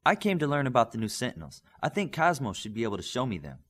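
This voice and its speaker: A man's voice: mid-range voice, huge pitch swings, fast talker, fairly bright tone. A man voicing a self-assured young female superhero who coaches and explains in an audiobook. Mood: curious.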